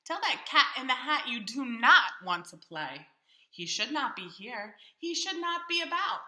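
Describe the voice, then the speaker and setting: A woman's voice: high voice, sing-song, measured pace, bright, forceful tone, voice pushed up, full character voice. A woman voicing an indignant, fussy pet fish in a storybook, scolding in an emphatic, bossy way. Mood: stern, alarmed. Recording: roomy echo.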